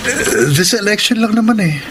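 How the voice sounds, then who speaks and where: High-pitched coaxing young Filipino husband. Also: male voice, lively intonation, moderate pace, noisy background. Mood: pleading. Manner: pleading, soft-selling. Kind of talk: Tagalog radio drama acting.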